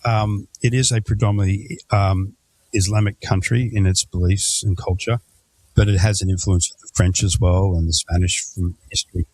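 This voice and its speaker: A man hosts a travel podcast in a casual, conversational way and keeps asking his guests questions. His voice sits a little low and sounds mellow. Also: flat delivery, moderate pace, rough recording. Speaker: podcast host. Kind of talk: casual interview chat.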